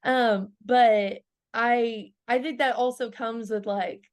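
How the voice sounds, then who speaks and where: A woman's voice: on the high side, fairly even intonation, slow pace. A woman in her early twenties, a country singer chatting casually in an interview, filling her talk with "like" and laughing often. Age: 20s. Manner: bubbly, laughs often, lots of "like" fillers.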